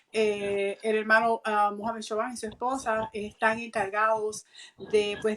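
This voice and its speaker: A woman hosting a live radio interview from a mosque, hesitant, with many fillers and restarts. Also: a little high. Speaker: radio host.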